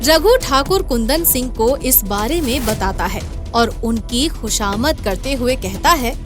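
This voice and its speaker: A woman's voice: on the high side, expressive, a little slow, music in the background. A woman narrating a scripted moral story as a storyteller.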